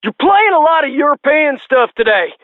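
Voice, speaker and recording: Radio phone-in caller, very high voice, keen, breezy, scripted game dialogue, tinny telephone filter. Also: very animated, normal speed, no room sound. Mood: keen, requesting. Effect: phone line filter. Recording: tinny, narrow phone line.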